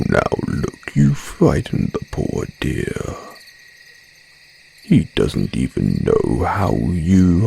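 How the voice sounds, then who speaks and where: A man acts out a suave male werebear for an audio roleplay. His voice is low and creaky, and he taunts in formal, very drawn-out phrases. Faint insect ambience sits behind him. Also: warm tone, a little hiss or hum, full character voice. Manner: slow, mocking, old-fashioned formal phrasing.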